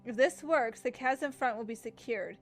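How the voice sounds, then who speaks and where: A woman's voice: a little high, moderate pace, faint music behind. A woman gaming streamer reading game text aloud during a playthrough, casually stumbling over words and breaking off to comment.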